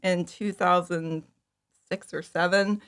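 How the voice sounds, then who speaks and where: A woman's voice: on the low side, steady tone, slow, a bit bright, clean tone. A woman chatting casually as an interview guest about her own creative work. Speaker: interview guest, fan audio drama creator. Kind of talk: casual chat, telling how a project came about.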